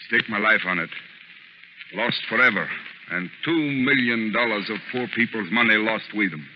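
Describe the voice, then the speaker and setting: A man playing a gruff, commanding fishing boat captain with salty nautical talk, in an old radio drama style. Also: fairly low pitch, moderate pace, natural voice. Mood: grim, resigned. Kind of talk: 1940s radio acting. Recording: dull, noisy old radio recording.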